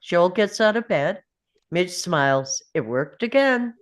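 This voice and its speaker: A woman reads scene headings and action lines from a script. Her voice sits fairly low, and she moves through the narration at a leisurely pace. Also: lively intonation, slow pace. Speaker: reader of stage directions. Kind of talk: scripted narration, present-tense screenplay directions.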